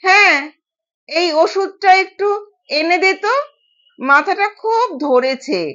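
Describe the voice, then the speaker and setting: A woman's voice: extremely high pitch, animated, takes it slowly, fairly bright tone, voice pushed up, full character voice. A woman voicing a strict, commanding older mother-in-law in an audio story, with dull recorded sound. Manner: commanding, curt.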